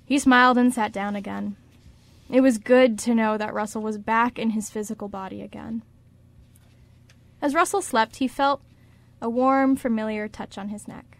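A woman's voice: on the high side, dry recording. A woman narrating a scripted story for a radio drama.

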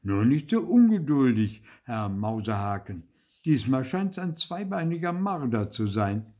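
Guy doing a gruff sarcastic farmer for a kids' story, husky, swingy pitch, mock polite. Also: sing-song, unhurried, a little mellow. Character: gruff, mocking male farmer, stern and sarcastic. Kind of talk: dramatised children's storybook reading.

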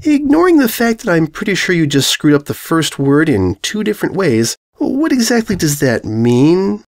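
A man's voice: on the high side, sing-song, moderate pace, pitched above the performer's own voice. A man voicing a small female pony in a story reading, polite, articulate and wryly exasperated. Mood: wry disbelief. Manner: polite, wry, wordy.

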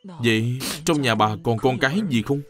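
An actor playing an upright, kindly young scholar in an animated drama, speaking earnestly. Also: male voice, mid-range voice, moderate pace, natural voice. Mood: concerned. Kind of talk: animated drama dub acting.

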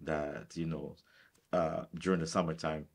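Very deep-voiced middle-aged man. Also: level tone, a little slow, vocal fry. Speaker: interviewer. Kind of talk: sit-down sports interview. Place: furnished indoor room, seated.

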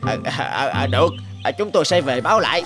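A bumbling cartoon henchman, acted by a man, eager and gullible. He hesitates and stammers with lively pitch, over clear background music. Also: quick pace, close to an everyday voice. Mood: hesitant, obliging. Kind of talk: cartoon folk tale dialogue.

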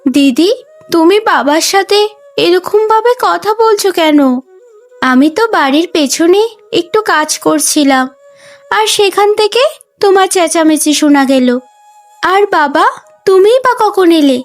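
একজন মহিলা কার্টুন গল্পে স্নেহময়ী, কর্তব্যপরায়ণ তরুণী ছোট বোনের চরিত্রে কণ্ঠ দিচ্ছেন, কথায় নরম অনুনয় আর যত্ন।. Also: female voice, extremely high pitch, animated, a little slow, long pauses, soft background music. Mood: concerned, caring. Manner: gentle, pleading, caring. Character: sweet, dutiful, affectionate young younger sister. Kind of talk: Bengali cartoon story voice acting.

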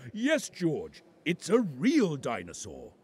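An actor playing a big, jolly father pig with a husky voice and jovial manner in a cartoon game. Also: on the high side, huge pitch swings, slow, a little mellow, heavily acted. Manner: jovial, chuckling. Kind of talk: children's cartoon game acting.

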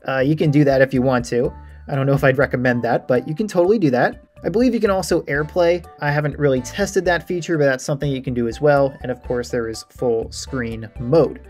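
A man's voice: medium pitch, a little mellow. Man giving a casual tutorial over music. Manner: informal, explanatory. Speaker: math tutorial creator giving a site tour. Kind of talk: casual screen-share walkthrough. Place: voice-over for a screen recording.

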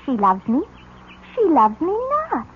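A woman's voice: fairly high pitch, very animated, unhurried, slightly rough, exaggerated voice. An actress playing a brazen, teasing sixteen-year-old psychic orphan girl in a 1970s radio drama. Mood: sly, taunting. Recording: noisy, dull vintage radio broadcast.

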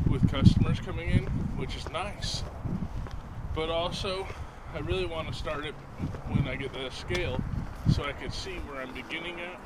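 A raspy-voiced man in his thirties vlogs from a handheld camera as he walks along a road, rambling in a self-deprecating way. His voice sits a little high for a man, and loud wind and traffic noise run underneath the outdoor recording. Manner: rambling, self-deprecating, walking while talking. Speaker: vlogger. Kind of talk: casual daily vlog. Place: outdoors by a road and parking lots. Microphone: handheld camera at arm's length.

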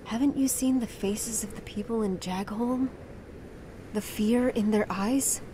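A woman's voice: fairly high pitch, measured pace, warm tone, a little hiss or hum, lightly acted, low-quality recording. A woman playing a principled young heroine in a fantasy game, speaking earnestly with composed firmness. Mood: pointed challenge. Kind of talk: dramatic fantasy game voice acting.

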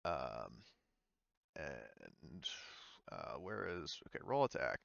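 A man's voice: rumbling low, big pitch swings, takes it slowly, vocal fry, a bit bright, dry recording, lo-fi sound. A man running an online tabletop game as game master, talking casually and hesitantly as he thinks aloud.

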